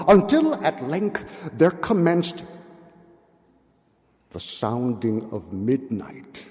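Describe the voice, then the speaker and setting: A man giving a dramatic, theatrical reading of gothic horror, with drawn-out words, pauses and hushed drops. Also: on the high side. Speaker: literary reader. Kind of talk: dramatic literary recitation of a gothic horror tale. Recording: long hall-like reverb.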